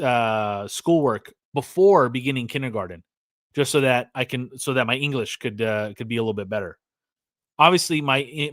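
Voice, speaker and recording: Casual American host, 40s, rambling livestream chatter, mid-range voice, dry close mic. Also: male voice, medium pitch, fairly bright tone, no room sound. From California, USA. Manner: rambling, lots of fillers and restarts, reads chat aloud. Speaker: podcast host.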